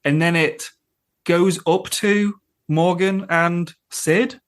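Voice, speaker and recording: A man's voice: high voice, fairly even intonation, slow pace, clear, resonant tone. A man on a podcast panel, chatting casually and reasoning things out aloud with questions. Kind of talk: casual chat.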